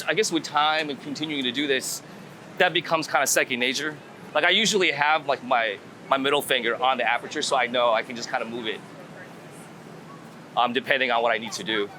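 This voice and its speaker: Male interviewee, bright voice, informal rambling explainer, outdoor city street with faint traffic noise. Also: mid-range voice. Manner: rambling, informal, thinking aloud with repeated phrases. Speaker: guest street photographer. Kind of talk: casual conversational explanation while out shooting.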